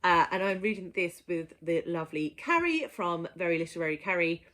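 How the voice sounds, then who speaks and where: A woman's voice: lowish voice, animated, brisk, fairly bright tone. A woman talking casually and playfully to viewers as a book vlogger, with an enthusiastic manner. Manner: playful, enthusiastic, given to giggling. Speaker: book vlogger presenting a reading list. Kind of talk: casual chatty monologue to viewers.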